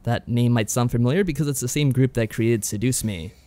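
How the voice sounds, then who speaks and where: Guy on a podcast summing up games, dry understated delivery, mid pitch, no echo. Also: brisk, mellow. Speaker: podcast co-host. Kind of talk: casual review chat, summarising games.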